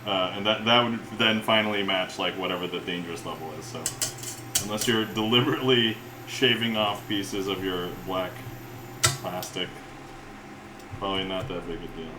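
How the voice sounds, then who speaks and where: A man hosting a cooking livestream, rambling and chuckling often as he greets viewers, with a relaxed-sounding voice. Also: low voice, normal speed, background noise. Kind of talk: casual livestream chat while cooking. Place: home kitchen. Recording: echoey room with loud cookware clatter. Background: clinking cutlery, pots and pans.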